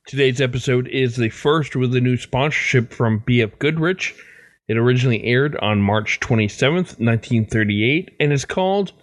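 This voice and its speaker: A dry, close recording of a male podcast host. He reads scripted announcements at a middle pitch. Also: moderate pace, no room sound.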